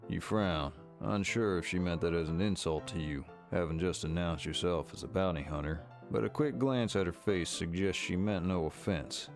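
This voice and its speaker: A man with a very low, creaky voice reads scripted story narration for a visual novel. Faint music sits behind his words. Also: moderate pace, hardly any pauses.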